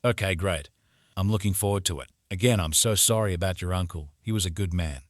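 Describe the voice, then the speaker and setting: Male actor as a deep-voiced courteous old soldier caller, dry close sound, steady and polite. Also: no echo, lightly acted. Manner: courteous, measured. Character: polite middle-aged male military veteran visitor.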